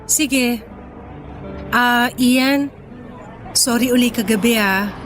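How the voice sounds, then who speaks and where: Young woman in a radio drama, kinda high voice, natural expressive talk, soft music behind. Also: slow. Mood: apologetic, grateful. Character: apologetic young woman, grateful but fiery when provoked. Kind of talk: radio drama acting, conversational. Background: music bed.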